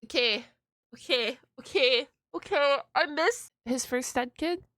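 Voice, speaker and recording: A woman's voice: sits high, unhurried, pushed and bright, no room sound, studio quality. A woman hosting a reaction video in a casual, chatty way, exclaiming and musing aloud in informal slang.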